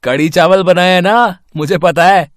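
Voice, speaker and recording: Man playing a cheeky, higher-voiced young son. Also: steady tone, normal speed, no echo, clean studio sound, close to an everyday voice. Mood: playful, protesting. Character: cheeky, good-humoured young adult son, college student.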